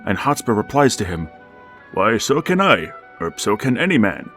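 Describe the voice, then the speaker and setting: An actor playing a lonely, embittered widowed father who speaks in a measured, formal, brooding way. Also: lowish voice, big pitch swings, fairly bright tone, soft background music. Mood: grave. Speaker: narrator of the story. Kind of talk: 1940s radio drama narration.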